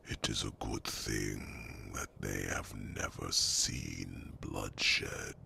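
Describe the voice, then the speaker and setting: A man voicing a wise, grave, fatherly old dragon in a slow, deep, gravelly half-whisper for an ASMR fantasy roleplay. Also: steady tone, sharp tone, a little hiss or hum, heavily acted. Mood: grave, pensive. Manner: slow, deliberate, murmuring pauses.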